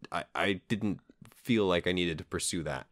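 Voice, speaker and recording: A man's voice: sits low, normal speed, a bit bright, dry recording, studio quality. A man hosting a podcast in casual conversation, talking in a hesitant, rambling way that keeps qualifying itself. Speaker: podcast host. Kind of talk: casual chat about comics.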